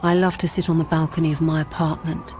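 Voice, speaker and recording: An actress playing a calm, ruthless politician who narrates a radio drama in a measured, controlled and quietly menacing way. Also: female voice, low voice, a little hiss or hum, natural voice. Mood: cool, controlled. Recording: music behind the voice.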